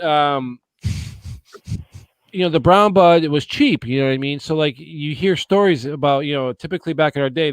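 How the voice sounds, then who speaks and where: A man in his forties from the Boston area talks in a loose, rambling way, peppering his talk with swearing and "you know what I mean?". His voice sits at a middling pitch and is recorded close and dry, with little room sound. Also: no echo. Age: 40s. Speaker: podcast guest. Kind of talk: casual chat.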